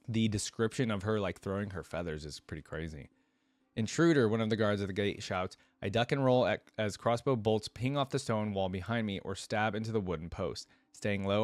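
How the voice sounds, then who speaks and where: Low-voiced man, casual read-aloud reactions. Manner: casual, informal asides with slang. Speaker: reaction video host reading a story aloud.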